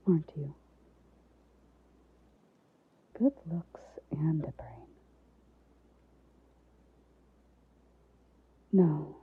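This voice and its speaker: A woman performs an alluring, troubled gothic lady in an ASMR roleplay. Her voice is breathy and soft, often sinking to a whisper, and she speaks very slowly in a lowish register. Her manner is formal, tender and teasing, yet guarded. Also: narrow, tinny audio, exaggerated voice. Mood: reluctant, tender. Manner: slow, formal, teasing endearments.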